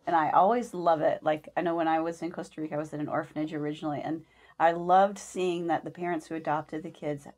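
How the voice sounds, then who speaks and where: Podcast co-host woman, lowish voice, chatty asides. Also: fairly even intonation, fast, darkish tone. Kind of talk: casual interview chat.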